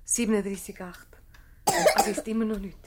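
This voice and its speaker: A woman's voice: medium pitch, fairly even intonation, moderate pace, natural voice. An actress playing a worried, deferential mother of a sick child in a radio play, speaking anxiously and politely. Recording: noisy old radio play recording.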